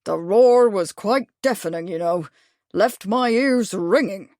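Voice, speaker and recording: A woman's voice: medium pitch, unhurried, darkish tone, no echo, close to an everyday voice. Fussy old military man, pompous and long-winded, read by a woman narrator in a dry studio. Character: fussy older male retired major, pompous.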